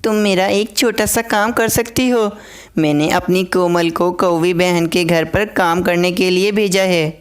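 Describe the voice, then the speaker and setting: A gentle mother sparrow from a cartoon fable, acted by a woman, speaks with a clear, smooth voice. She is protective and anxious, explaining things with care. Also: on the low side, steady tone, unhurried, lightly acted. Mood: worried, pleading.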